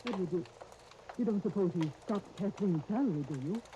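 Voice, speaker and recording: An actress playing an anxious, timid middle-aged aunt in a 1930s radio serial, fretful and hesitant. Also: female voice, medium pitch, lively intonation, a little fast, lightly acted. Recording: noisy old 1930s radio disc recording.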